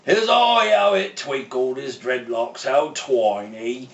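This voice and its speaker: A man's voice: medium pitch, slow, muffled recording, lightly acted. A man voicing a jolly old pirate, Santa's seafaring cousin, reading a storybook aloud in folksy pirate slang, tagging his lines with "eh?". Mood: storytelling. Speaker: storybook reader. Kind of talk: in-character read-aloud. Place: room, reading to a computer. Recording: roomy, mic at a distance.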